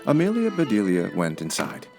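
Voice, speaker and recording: A man's voice: deep voice, huge pitch swings, moderate pace, a little mellow, faint music behind. A man narrating a children's story from a script as an audiobook reader.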